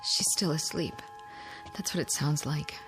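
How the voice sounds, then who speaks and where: A woman's voice: low-pitched, fairly even intonation, measured pace, over music, rough recording. An actress playing a distraught mother in a radio drama, with an anguished, pleading delivery. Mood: subdued fear. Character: distraught mother searching for her missing daughter.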